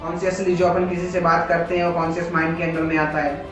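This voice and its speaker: A man with an Indian accent teaching in an explanatory, motivational lecture style, slowing down deliberately on English phrases. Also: on the high side, monotone, muffled recording. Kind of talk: motivational lecture on communication skills. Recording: very echoey room, music behind.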